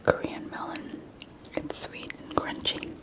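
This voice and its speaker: A young woman whispering softly and chatting through an ASMR eating video, her nose stuffy from allergies, close to a binaural mic. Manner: soft unvoiced whisper, slow and chatty. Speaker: ASMR host. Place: indoors at a table.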